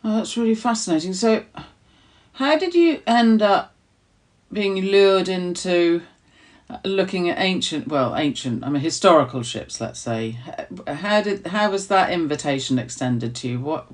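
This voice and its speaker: A woman's voice: on the low side, animated, measured pace, far from the mic. A woman hosting a podcast, a maritime archaeologist asking questions with keen enthusiasm. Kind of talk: podcast interview.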